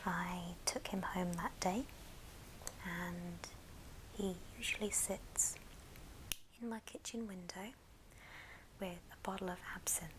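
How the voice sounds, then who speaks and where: A woman whispering gently and breathily in an unhurried ASMR show-and-tell style. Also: mid-range voice, rough recording. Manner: gentle, unhurried, soft-spoken. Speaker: ASMR video creator.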